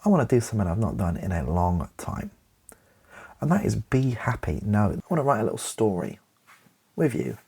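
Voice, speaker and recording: Guy, really husky n creaky, rambly asmr chat, kinda wry and self-deprecating. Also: lowish voice, very animated, normal speed, darkish tone. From Britain. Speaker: ASMR YouTuber and playwright. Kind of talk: relaxed ASMR-style casual chat to camera.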